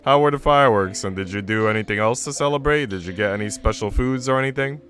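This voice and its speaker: A man's voice: lowish voice, fast, fairly bright tone. A man chatting casually on a livestream as he reads a visual novel. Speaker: streamer reading a visual novel aloud to chat. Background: music.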